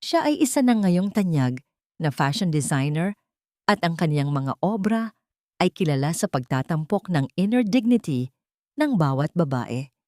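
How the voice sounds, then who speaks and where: A woman with a Filipino accent narrating a scripted dramatic story in a measured, solemn way, in short phrases. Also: deep voice, big pitch swings, a little mellow, no echo.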